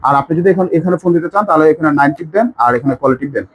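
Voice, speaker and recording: A man's voice: a little high, rapid-fire, husky. A man with a Bangladeshi accent giving an informal sales demonstration, with eager, repetitive patter as a shop presenter.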